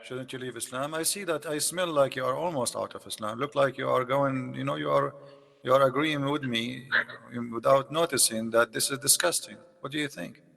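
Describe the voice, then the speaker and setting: A man's voice: medium pitch, fairly even intonation, fairly quick, gravelly, fairly bright tone, long reverb tail. A man whose first language is Arabic, hosting a debate and pressing his opponent with mocking questions. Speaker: debate host. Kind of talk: live debate call.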